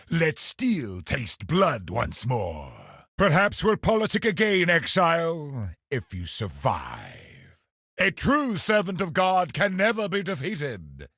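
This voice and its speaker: An actor playing a cruel, zealous military crusader, a video game boss who is haughty, commanding and menacing, with a gravelly, raspy voice. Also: male voice, fairly high pitch, bright, forceful tone, dry recording, clean studio sound, full character voice. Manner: haughty, imperious, menacing, declamatory.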